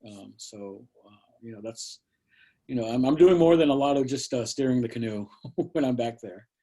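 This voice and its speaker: A middle-aged man speaks over a video call from a small studio shed. His tone is mellow and unhurried, and he wanders through his thoughts with plenty of fillers and restarts. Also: mid-range voice. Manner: rambling, many fillers and restarts. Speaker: guest artist. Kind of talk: casual conversational talk.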